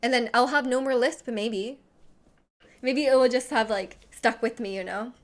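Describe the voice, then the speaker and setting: A woman's voice: fairly high pitch, moderate pace. A young woman streamer with a slight lisp, chatting casually and rambling with lots of "like" while reading chat messages. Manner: rambling, heavy use of "like".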